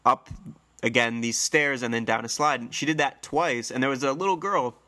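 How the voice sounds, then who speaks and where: A man's voice: medium pitch, normal speed, pushed and bright. Congested, nasal man hosting a podcast. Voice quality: slightly hoarse. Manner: rambling, friendly storytelling with fillers. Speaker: podcast host. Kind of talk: casual solo podcast chat.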